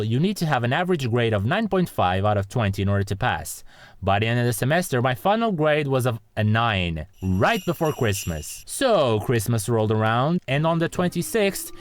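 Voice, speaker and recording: Brief: young guy, comic storytime rant, very lively pitch, faint music bed. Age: young adult. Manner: exasperated comic ranting, bursts into shouts. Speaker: animator narrating a storytime. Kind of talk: animated storytime narration.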